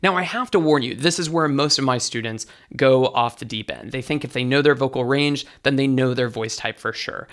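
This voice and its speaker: A man with a light, bright tenor voice, a vocal coach explaining singing technique as an interview guest. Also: mid-range voice, brisk, hardly any pauses. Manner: enthusiastic teaching, sings short demos.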